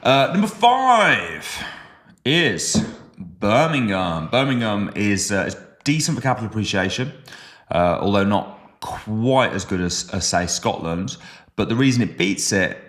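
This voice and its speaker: A man from the West Midlands co-hosting a podcast in casual chat, animated and teasing, with sudden jumps up in pitch when he stresses a point. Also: unhurried. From West Midlands, England. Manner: teasing, joking banter. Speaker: podcast co-host.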